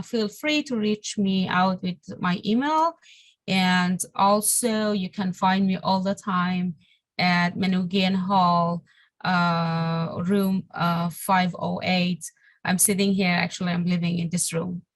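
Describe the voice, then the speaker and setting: A woman from Iran, a grad union's international chair, giving an orientation speech in halting English full of fillers and restarts. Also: medium pitch. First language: Persian.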